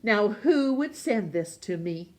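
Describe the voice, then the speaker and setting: Woman voicing an earnest, lilting storybook gentleman. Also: mid-range voice, animated, takes it slowly, pitched above the performer's own voice. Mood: puzzled. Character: shy, lonely man turning cheerful and courteous. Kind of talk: storybook character voice.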